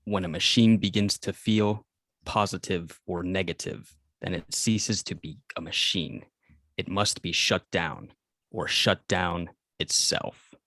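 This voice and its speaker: A man playing a solemn, devoted alien machine guardian with measured delivery in a script table read. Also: low voice, sharp tone, no room sound, studio quality, full character voice. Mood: grave, calm.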